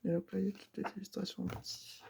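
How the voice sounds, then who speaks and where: A woman's voice: mid-range voice, little pitch movement, very fast, gravelly, darkish tone, a little hiss or hum. A woman in her twenties with a stuffy nose from a cold, chatting casually and hesitantly about her crafts. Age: 20s. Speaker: craft vlogger. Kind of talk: casual chat.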